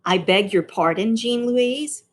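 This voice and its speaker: A woman's voice: on the high side, a little slow, natural voice. A woman voicing a prim, impatient female schoolteacher in a storybook. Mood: puzzled.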